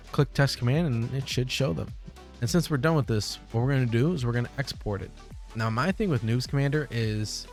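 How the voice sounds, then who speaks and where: A man's voice: medium pitch, a little mellow. Casual young man giving a tutorial over music. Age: young adult. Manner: informal, conversational. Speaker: tutorial host. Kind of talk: casual software tutorial to camera. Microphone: close desk microphone on boom arm.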